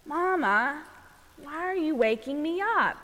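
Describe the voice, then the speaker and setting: A woman's voice: sits high, animated, slow, a bit bright, pitched above the performer's own voice, heavily acted. A woman voicing an eager, curious little bear cub from a storybook, asking childlike questions in an echoey hall. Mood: wondering. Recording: echoey hall, long reverb.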